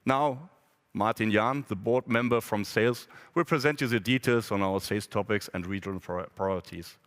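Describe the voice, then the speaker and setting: A man giving a measured, formal prepared speech as a board member at a press conference. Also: lowish voice, fairly bright tone. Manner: measured, formal delivery with occasional restarts. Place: conference hall. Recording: long hall reverb.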